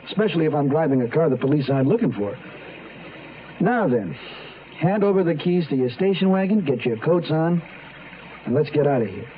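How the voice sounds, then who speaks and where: A young killer played by a man in a radio thriller, menacing under mock courtesy. His fairly high voice is halting and very animated, heard through a thin, slightly noisy recording. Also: lightly acted. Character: menacing young male killer, falsely polite, unstable. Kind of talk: old-time radio drama acting. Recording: tinny radio recording with background noise.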